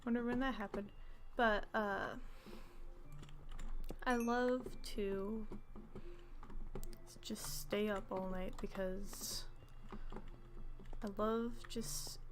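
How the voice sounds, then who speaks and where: A woman's voice: medium pitch, steady tone, drawn-out words, long pauses, background noise. A young woman streaming a game, chatting casually and rambling, often dropping into whispered asides. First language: English. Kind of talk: casual gameplay chat.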